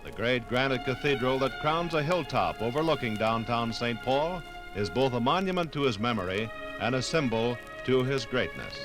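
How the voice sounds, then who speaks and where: An American man narrating a scripted 1950s radio documentary in a formal, measured way. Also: mid-range voice, lively intonation, music in the background, low-quality recording.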